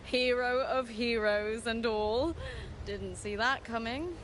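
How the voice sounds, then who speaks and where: Young gladiator heroine: high-pitched, chummy, eager, hearty battle-buddy energy for a game. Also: female voice, slow pace, fairly bright tone, a little hiss or hum. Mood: warm, admiring. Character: spirited, hearty young female gladiator comrade. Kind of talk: video game dialogue.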